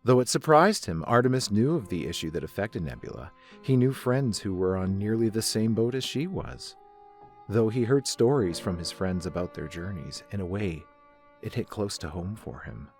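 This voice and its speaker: Casting: male narrator for a dramatic story reading, lowish voice, quiet music underneath. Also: lively intonation, normal speed.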